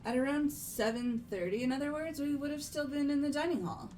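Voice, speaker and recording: A woman playing an earnest, brisk teenage girl in a fan game dub, with a direct delivery. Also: high voice, dark tone, soft background music, natural voice, poor audio. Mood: concerned. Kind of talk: amateur game voice acting.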